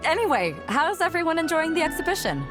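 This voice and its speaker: A woman voicing a bold, easygoing sea captain in an animated game, breezy, blunt and joking. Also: very high voice, quick pace, over music. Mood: easygoing, amused.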